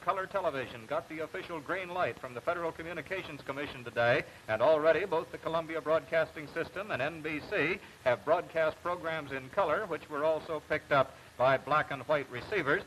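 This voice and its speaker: An American man anchoring a television news report, reading scripted news in a formal, measured 1950s announcer style. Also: on the high side, fairly bright tone. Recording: noisy 1950s television broadcast recording.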